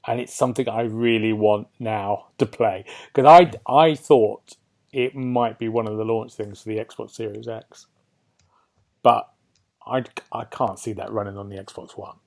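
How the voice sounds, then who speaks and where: A man's voice: fairly low pitch, fairly even intonation, unhurried, gravelly, a little mellow. A British man hosting a podcast, chatting casually about video games and often turning statements into questions. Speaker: podcast host.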